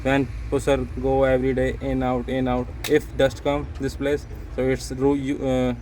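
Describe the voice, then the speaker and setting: A man's voice: medium pitch, monotone, normal speed, background noise. A man with an Indian accent and non-native English gives a casual hands-on repair tutorial in simple, repetitive phrasing. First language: Punjabi or Hindi. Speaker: repair channel host, machinery mechanic. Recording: music playing behind the voice.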